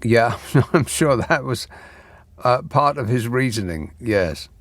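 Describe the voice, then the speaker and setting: A man hosts a late-night radio phone-in and reads listeners' texts aloud. His delivery is dry and deadpan, and his sarcastic asides come close on a bone-dry studio mic. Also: medium pitch, slow, dry recording. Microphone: studio microphone.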